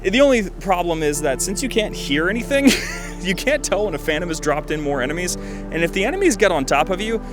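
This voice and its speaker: A man's voice: sits high, expressive, fairly quick, music in the background. A man giving casual, joking commentary over gameplay, rambling and slangy with a self-deprecating manner. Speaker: video game reviewer and host.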